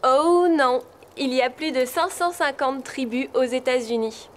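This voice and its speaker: A woman voicing an earnest, articulate teenage girl who rides horses in a French animated series. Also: sits high, a little slow, slight background noise. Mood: explaining.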